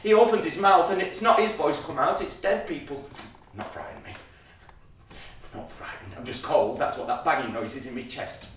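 A man's voice: fairly high pitch, brisk, few pauses, a little hiss or hum, close to an everyday voice. An actor playing a frightened, defiant eight-year-old boy who swears and blurts in a solo stage monologue, heard in a very echoey space from far off. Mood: hushed, haunted. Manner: hushed then shouting in panic.

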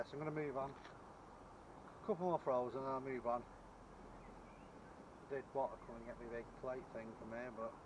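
A middle-aged man chatting casually and matter-of-factly to a camera a few feet away, as an outdoor vlogger. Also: medium pitch. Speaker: vlogger, magnet fisher. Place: outdoors on a canal towpath by bridges. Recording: noisy outdoor canal-side camera audio. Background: clear outdoor ambient noise.

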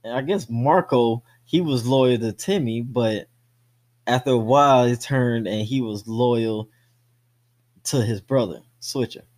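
A man's voice: mid-range voice, measured pace, a little mellow. Casual, slangy man co-hosting a podcast. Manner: slangy, swears freely. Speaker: podcast co-host. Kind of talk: casual movie discussion.

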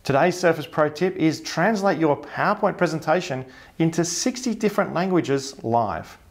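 A man in his thirties with a broad Australian accent presents a tech tutorial to camera. His voice sits a touch higher than most men's, and his delivery stays upbeat and explanatory. He sounds like he is reading from a script in an indoor studio. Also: lively intonation, moderate pace, noisy background. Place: indoor studio with a blurred office backdrop.

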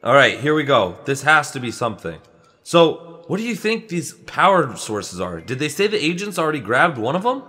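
A man's voice: fairly high pitch, normal speed, husky, heavily acted. A young man playing a friendly, eager superhero in a casual, chatty, improvised video game roleplay. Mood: excited. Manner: chatty, excitable, buddy-like.

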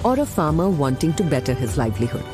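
A woman's voice: very deep voice, expressive, racing. A woman reading scripted promotional narration. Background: prominent music.

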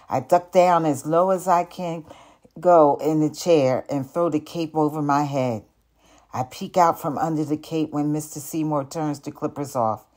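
An older woman with a low-pitched voice reads a picture book aloud for children. Her delivery is expressive and deliberate, in the style of a teacher telling a story.

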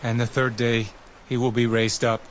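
An actor playing Jesus as a solemn, authoritative teacher, speaking in a measured way in a dramatized scripture reading. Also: male voice, medium pitch, little pitch movement, a little hiss or hum, natural voice. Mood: teaching. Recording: music behind the voice.

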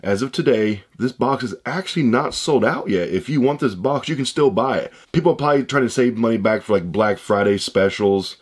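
A man in his thirties with a hoarse voice he says he is losing, chatting casually as he unboxes items at a desk indoors. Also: medium pitch, a little fast, hardly any pauses. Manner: chatty, rambling, upbeat. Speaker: unboxing channel host. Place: room with shelves of shoe boxes, indoors.

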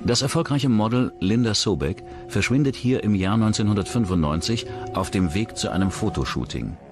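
A man's voice: fairly low pitch, expressive, brisk, music in the background. A man reading scripted true-crime documentary narration as the narrator.

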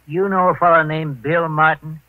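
An actor playing a folksy, homespun detective's sidekick in 1940s radio style, on an old recording. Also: male voice, fairly high pitch, steady tone, normal speed, no room sound, narrow, tinny audio, lightly acted. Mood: curious. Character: folksy, plain-spoken male sidekick to a detective. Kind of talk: 1940s radio character acting.

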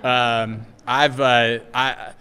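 A man from West Texas introducing a conference panel from a podium, talking haltingly with many restarts. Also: mid-range voice, flat delivery, bright, forceful tone, smooth. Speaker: panel moderator. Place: hotel conference room. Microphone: podium mic. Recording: reverberant hall.

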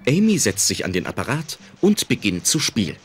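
A man's voice: fairly low pitch, wildly swooping pitch, brisk, heavy background noise. A man narrating a scripted anime audio drama as its storyteller.

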